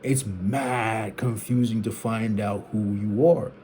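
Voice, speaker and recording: A man's voice: on the low side, steady tone, slow, warm tone, slight background noise. Rambling young man thinking aloud. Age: 20s. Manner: rambling, searching, restarts sentences. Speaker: vlogger. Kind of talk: unfiltered thinking out loud.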